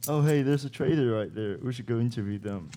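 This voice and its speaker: A man's voice: medium pitch, a little fast, natural voice. A young man acting a blunt, practical student broadcaster in an amateur radio play. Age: young adult. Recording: clear background noise.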